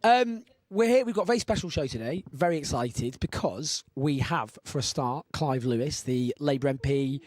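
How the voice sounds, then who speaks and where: A man in his thirties hosting a live chat show, with a husky voice and a rambling, self-mocking way of talking. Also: mid-range voice, expressive, moderate pace, few pauses, a little mellow, no room sound. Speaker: host.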